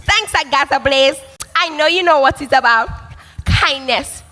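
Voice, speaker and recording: A woman's voice: sits high, unhurried, pushed and bright. A woman playing a vain female influencer in a comedic livestream parody, melodramatic and fake-crying. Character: vain self-absorbed female social media influencer. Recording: long reverb.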